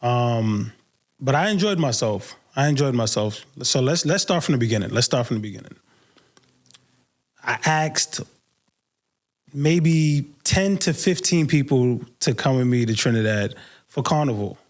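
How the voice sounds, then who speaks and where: A man with a New York accent talks solo as a podcast host. His pitch is average and his pace is notably slow. The delivery is casual and unfiltered, full of everyday slang. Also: animated. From Brooklyn, New York, United States.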